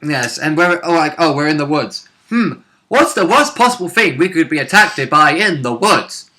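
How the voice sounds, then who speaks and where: A man chatting casually as a player in a tabletop game recap, jokey and quick to interject. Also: a little high, lively intonation, sharp tone. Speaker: player and co-host of tabletop role-play recap. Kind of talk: casual chat.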